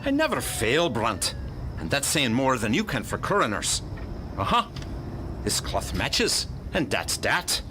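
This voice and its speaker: A man's voice: mid-range voice, huge pitch swings, unhurried, noisy background. A man playing a cocky, scowling police detective in a radio drama, with a blustering, pompous, dismissive manner. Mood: lofty, smug.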